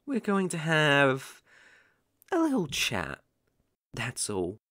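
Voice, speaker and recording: A man's voice: fairly high pitch, wildly swooping pitch, slow pace, sharp tone, dry recording, heavily acted. A young man playing a possessive, unhinged yandere boyfriend in an ASMR roleplay, sugary and menacing, theatrical and coaxing with sudden intense swells, close on the microphone. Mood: cooing, controlling. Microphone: close studio microphone.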